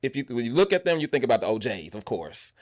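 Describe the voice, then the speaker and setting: A man chatting casually as a podcast guest, telling stories in an animated way and repeating phrases for emphasis. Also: medium pitch, fast talker, no room sound, clean studio sound. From Memphis, USA. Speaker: podcast guest, actor and stage playwright. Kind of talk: casual conversational interview talk.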